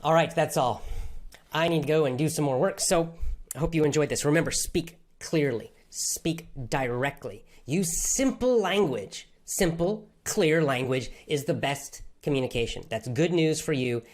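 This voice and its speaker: A man's voice: fairly high pitch, lively intonation, moderate pace. A man who teaches English, answering viewers' questions in an enthusiastic, motivational way. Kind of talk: live Q&A with viewers.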